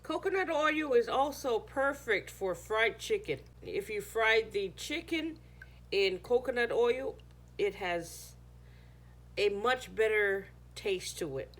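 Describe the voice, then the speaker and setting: A woman's voice: a little high, animated, takes it slowly, fairly bright tone. A woman whose first language is Chamorro, chatting casually through a home how-to video, sometimes searching for words. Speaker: home cooking vlogger.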